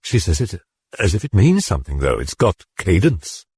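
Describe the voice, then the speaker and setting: A preoccupied neurologist, voiced by a man, low and husky. He speaks thoughtfully and quietly, with dry, close sound. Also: huge pitch swings, normal speed, dry recording, full character voice. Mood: puzzled.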